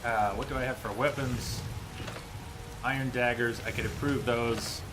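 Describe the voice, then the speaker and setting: A man's voice: medium pitch, steady tone, normal speed, noisy background. A man streaming a video game, thinking aloud in casual, musing commentary. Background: game music and rain.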